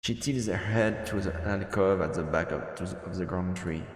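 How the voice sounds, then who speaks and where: Low-voiced man narrating with heavy echo. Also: moderate pace. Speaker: streamer reading a visual novel aloud. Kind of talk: dramatic narration of game text. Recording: reverberant, echoey room sound. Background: game music.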